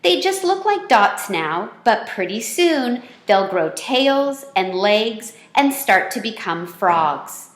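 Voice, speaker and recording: A woman's voice: mid-range voice, very animated, slow pace, few pauses, reverberant. A woman voicing an eager schoolboy in a storybook, explaining things to his class. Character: eager schoolboy showing off tadpoles.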